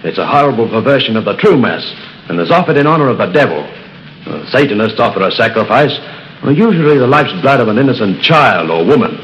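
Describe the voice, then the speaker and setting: Steady young fiancé, expressive; old radio sound. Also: male voice, medium pitch, brisk. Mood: earnest. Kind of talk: 1930s radio drama acting. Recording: dull, noisy old radio recording.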